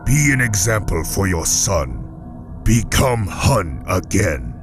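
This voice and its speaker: A man playing a menacing, imperious mastermind in an audio drama, speaking formally and deliberately as he commands and persuades. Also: low voice, sing-song, exaggerated voice. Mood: commanding, persuasive. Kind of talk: audio drama villain acting. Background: music.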